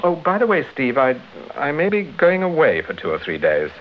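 An actor playing a suave British amateur detective with a clipped, urbane manner in a vintage radio drama. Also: male voice, fairly high pitch, wildly swooping pitch, moderate pace. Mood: wry, relaxed. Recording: dull, hissy old radio recording.

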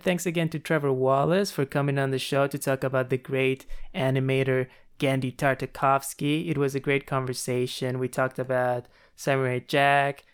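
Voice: medium pitch, smooth. A podcast host reading a rehearsed, upbeat introduction and then interviewing a guest.

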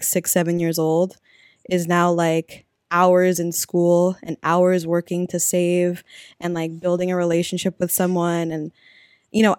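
Woman in her twenties, lowish voice, dry close sound, loose rambly podcast chatter. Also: a little mellow, no echo. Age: 20s. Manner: rambling, filler-heavy, self-deprecating. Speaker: podcast co-host. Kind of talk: casual chat.

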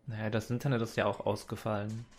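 A man hosting a casual livestream interview and putting viewers' questions to his guest, speaking haltingly with many fillers. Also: lowish voice, flat delivery. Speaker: stream host.

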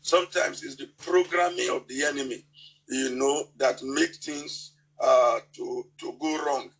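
A man with a Nigerian accent preaching a sermon, halting and often repeating words as he restarts his sentences. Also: medium pitch, fairly even intonation, fairly bright tone, low-quality recording.

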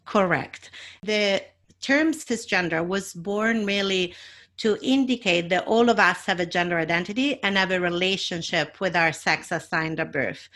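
A woman with a Mediterranean accent speaks at a slightly low pitch as a guest expert. She explains ideas patiently and step by step, with easy fillers. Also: normal speed, a bit bright. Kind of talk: explanatory interview answers.

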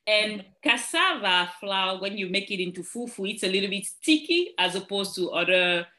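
A woman's voice: medium pitch, lively intonation, normal speed, bright, forceful tone. A woman from Cameroon, a nurse practitioner guest, chatting and explaining plainly, laughing readily. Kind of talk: casual interview chat.

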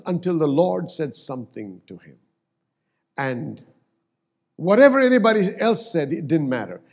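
A man's voice: on the high side, creaky, darkish tone. A man preaching a sermon as pastor, emphatic and swelling on key words.

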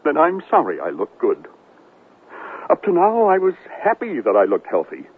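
Self-pitying rugged newsman, animated, tinny radio. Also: on the high side, moderate pace, slightly rough, heavily acted. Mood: wounded, defensive. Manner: theatrical, wounded, swinging between bluster and self-pity. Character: rugged, self-pitying, vain male TV foreign correspondent. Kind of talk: 1970s radio drama acting. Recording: thin band-limited 1970s radio broadcast, faint noise.

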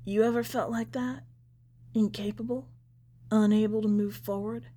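A woman's voice: medium pitch, steady tone, normal speed, mellow, lightly acted. A woman voicing a pious, folksy bearded male preacher in a prison story, evasive and sanctimonious. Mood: probing, preacherly.

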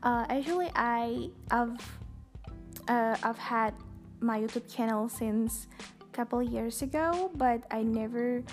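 A young woman with an Indonesian accent hosts her podcast in a casual, chatty monologue, full of fillers. Her voice sits fairly high and she talks at an unhurried pace. Faint music runs underneath. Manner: talkative, chatty with many fillers. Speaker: podcast host.

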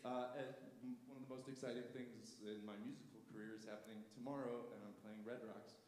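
A man's voice: mid-range voice, steady tone, fairly quick, a little mellow, a little hiss or hum. A man who plays drums sharing a short casual testimony at church, hesitant and full of "uh"s. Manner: hesitant, with many "uh"s. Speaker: church member and drummer. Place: large church hall. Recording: echoey hall, heard from a distance.

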